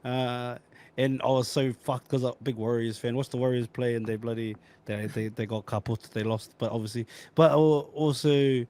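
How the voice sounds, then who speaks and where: A man, a podcast host talking in a relaxed, slangy way. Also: mid-range voice, normal speed, a little hiss or hum. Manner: laid-back, slangy storyteller. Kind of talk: casual conversation.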